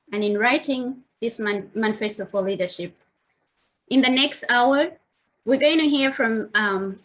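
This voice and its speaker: Higher-voiced woman hosting an online event. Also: a bit bright, low-quality recording. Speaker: host opening an online event. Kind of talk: welcome speech at a formal online launch. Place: online video meeting.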